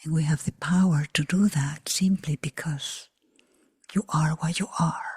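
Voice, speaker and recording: A woman leading a guided meditation for a group in a hushed, breathy near whisper, unhurried, with long pauses between short phrases. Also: sits low, steady tone, no echo, clean studio sound. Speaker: meditation teacher.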